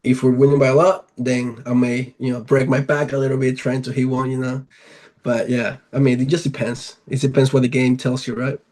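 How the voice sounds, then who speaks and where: A man's voice: mid-range voice, flat delivery, fairly quick, darkish tone. A man in his twenties from Venezuela with a Latin American accent, talking casually as an athlete being interviewed. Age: 20s. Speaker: professional baseball player, interview guest.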